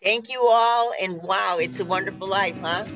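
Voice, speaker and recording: A woman's voice: mid-range voice, normal speed, a bit bright, lo-fi sound, faint music behind. A woman speaks as a radio show host in casual conversation. Her voice sits in the middle of the range, and she explains things in a rambling, off-the-cuff way. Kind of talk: casual chat.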